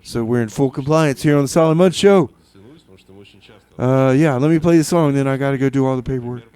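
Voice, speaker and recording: A man's voice: medium pitch, brisk, darkish tone, clear, resonant tone. Casual, tongue-in-cheek male radio DJ. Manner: hesitant, tongue-in-cheek, repeats phrases for effect. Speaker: radio DJ and show host. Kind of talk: casual music radio DJ patter with comedy bits. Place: radio studio.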